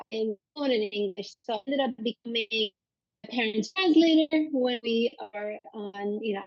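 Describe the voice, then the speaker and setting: A woman from Turkey, a native Turkish speaker, telling her personal story as an interview guest in a measured, thoughtful way. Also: mid-range voice, a little mellow, no room sound. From Turkey, moved to the US at fourteen. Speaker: podcast guest, psychologist. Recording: slightly dull lo-fi audio.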